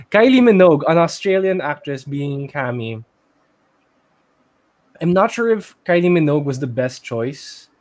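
A man's voice: fairly high pitch, expressive, measured pace, long pauses, darkish tone. A man with a Filipino accent speaking casually as the co-host of a discussion podcast. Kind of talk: casual movie discussion chat.

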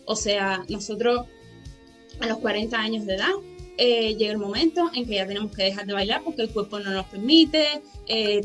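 Unhurried young Cuban-born woman. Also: on the high side, fairly bright tone. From Cuba. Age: 20s. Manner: earnest, unhurried, with many "eh" and "o sea" fillers. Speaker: interview guest, pageant winner and ballet dancer. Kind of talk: radio interview, personal story.

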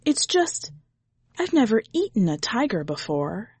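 A woman's voice: mid-range voice, sing-song, measured pace, darkish tone, slightly rough, no room sound, pitched above the performer's own voice, heavily acted. A woman voicing an earnest, affectionate five-year-old boy with simple, pleading speech in a storybook reading. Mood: hesitant.

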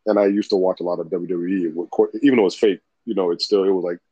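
A man with a very low, husky voice talks in a loose, rambling way and swears casually. The sound is dull and lo-fi. The room is completely dry. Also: fairly even intonation, fast talker, dark tone, no echo. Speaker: podcast co-host. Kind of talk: casual chat.

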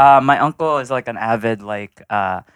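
A man's voice: mid-range voice, moderate pace, fairly bright tone, clear, resonant tone, no room sound. A man, a comedy guest on a podcast, telling rambling anecdotes with jokes mixed in. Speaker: comedy group member, guest. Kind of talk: casual chat.

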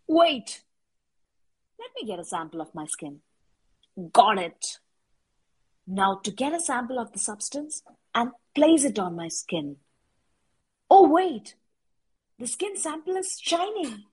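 Woman acting curious scientist, very rough husky voice, high, musing to herself, audio drama. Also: dark tone, heavily acted, poor audio. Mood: excited wonder. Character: curious, absorbed female scientist experimenting alone. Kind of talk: amateur audio drama monologue.

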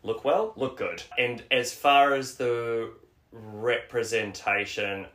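A man's voice: medium pitch, steady tone, takes it slowly, a bit bright, roomy sound. A young man, a podcast guest chatting casually and rambling with self-corrections. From Australia. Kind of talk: casual interview chat.

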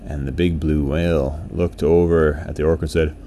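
A man's voice: rumbling low, little pitch movement, normal speed, dark tone. A man telling an improvised children's bedtime story in simple, repetitive phrases, with faint noise behind him.

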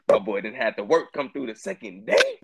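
A man's voice: mid-range voice, big pitch swings, normal speed, creaky. A man in his twenties co-hosting a podcast, telling stories in a laid-back casual way with lots of slang. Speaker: podcast co-host.

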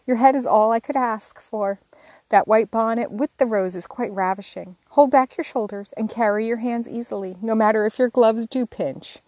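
A woman's voice: a little high, moderate pace, a little mellow, no room sound, voice pushed up, lightly acted. A woman reading as a fussy, coaxing younger sister. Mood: instructing. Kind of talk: audiobook character reading.

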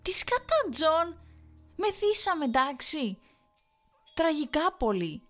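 A woman voicing a frantic young wife who turns shrill and tearful. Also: soft background music, natural voice. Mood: hysterical. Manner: shrill, breaking into sobs.

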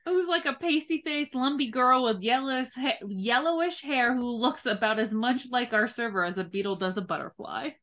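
A woman's voice: a little high, normal speed, few pauses, fairly bright tone. A woman co-hosting a book podcast, chatty and informal as she discusses and quotes from a novel. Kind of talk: casual book discussion, some reading aloud.

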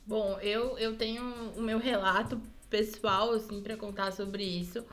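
A young woman, co-hosting a podcast and telling stories in an animated way. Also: mid-range voice, measured pace, soft background music. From Brazil. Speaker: podcast co-host. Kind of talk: casual chat.

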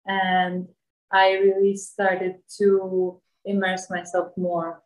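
Woman from Bosnia, thirties, English, very roomy echo, medium pitch, reflective interview talk. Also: monotone, slow pace. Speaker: interview guest. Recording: echoey room sound.

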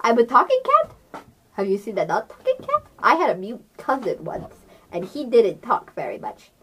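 A woman's voice: a little high, wildly swooping pitch, normal speed, pushed and bright, breathy edge, full character voice. A woman voicing a naive, chatty talking cat in a tabletop game, childlike, eager and guileless.

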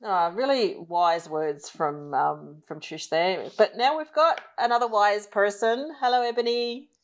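A woman's voice: medium pitch, a little slow. Friendly woman hosting a podcast. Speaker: podcast host. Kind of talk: casual podcast interview.

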